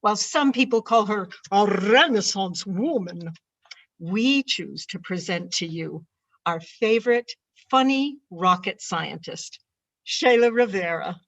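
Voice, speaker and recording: A woman's voice: mid-range voice, expressive, measured pace, muffled recording. Woman reading a formal introduction. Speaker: ceremony host. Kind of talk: scripted introduction.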